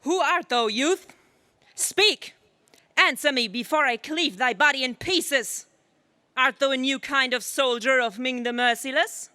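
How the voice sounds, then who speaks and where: Lady doing a noble fierce lion queen, dry close sound, bit high, talks all archaic, old radio serial. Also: unhurried, pushed and bright, dry recording. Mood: fierce challenge. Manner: grand, declamatory, archaic speech. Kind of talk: 1930s radio serial acting.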